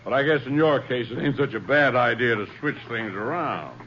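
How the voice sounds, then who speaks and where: A man's voice: medium pitch, normal speed, a bit bright, close to an everyday voice. An actor playing a mocking, domineering cattleman who needles his rival in an old radio western. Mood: mocking, smug. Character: taunting, domineering cattleman out to grab land. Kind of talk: 1950s radio western acting. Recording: dull, faintly noisy 1950s radio recording.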